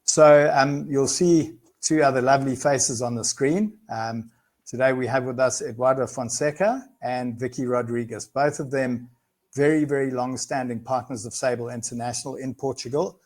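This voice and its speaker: A man's voice: medium pitch, fairly even intonation, normal speed, darkish tone. Man hosting a business webinar. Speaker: webinar host, firm representative.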